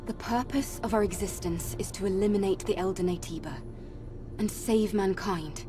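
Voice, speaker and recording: A woman playing an earnest, solemn young female warrior in a dramatic video game, speaking with measured resolve. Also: medium pitch, far from the mic, music in the background, natural voice. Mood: solemn, resolute.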